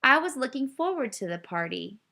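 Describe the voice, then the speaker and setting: A woman's voice: high-pitched, big pitch swings, fairly quick, creaky, bright, forceful tone, pitched above the performer's own voice, heavily acted. A woman voicing an eager, easily confused young male duck in a children's storybook, in an exclaiming, surprised manner. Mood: disappointed. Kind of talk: children's storybook read-aloud.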